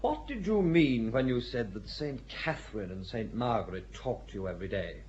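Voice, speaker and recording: An actor playing a blustering squire who swings between bossy orders and exasperated hesitation in a radio drama. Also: male voice, medium pitch, animated, normal speed, darkish tone, muffled recording, a little hiss or hum, lightly acted. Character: blustering, self-doubting squire commanding a castle.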